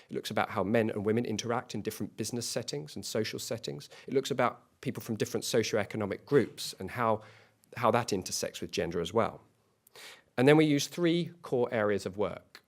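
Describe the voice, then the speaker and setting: A man with a fairly low voice delivers a business pitch to a panel. He speaks earnestly and often stumbles into restarts and repeated words as he explains his company's work. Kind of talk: competition pitch. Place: event hall.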